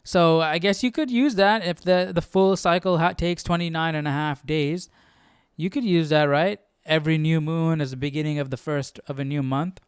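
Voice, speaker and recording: A man's voice: fairly high pitch, fairly even intonation, moderate pace, smooth, no echo, clean studio sound. A man hosting a Bible study stream, casually thinking aloud in a tentative, self-questioning way. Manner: tentative, self-questioning, often tags sentences with "right?". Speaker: host of a Bible study livestream.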